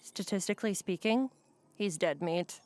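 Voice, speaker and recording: A woman's voice: fairly low pitch, moderate pace, a little mellow, dry recording, lightly acted. A woman playing a skeptical, blunt starship crew member in a comedic sci-fi play, with a pointed, questioning manner.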